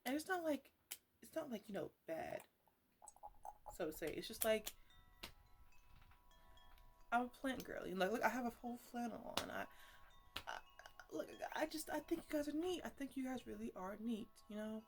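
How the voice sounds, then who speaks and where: A woman playing an eccentric, defensive botanist in an ASMR roleplay, rambling haltingly and repeating herself as she fusses over her plants. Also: on the high side, expressive, music in the background. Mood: earnest, enthusiastic. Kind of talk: ASMR roleplay, casual improvised chatter to plants.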